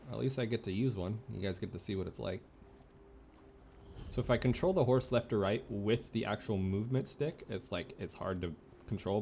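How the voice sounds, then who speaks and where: Low-voiced man casually commentating a game. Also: gravelly, a little mellow, slight background noise. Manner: sweary, playful. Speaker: game streamer.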